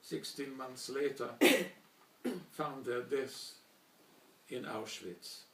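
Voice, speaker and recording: An older man, a native German speaker from Slovakia, giving a measured formal talk with pauses and restarts. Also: medium pitch, animated, echoey room, far from the mic, slight background noise. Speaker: speaker recounting childhood memories.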